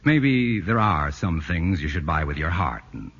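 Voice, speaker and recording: An actor playing a wry, urbane, sympathetic family friend with droll, articulate delivery in 1940s radio comedy style. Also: male voice, deep voice, sing-song, unhurried, fairly bright tone, husky, heavily acted. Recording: muffled echoey old radio broadcast.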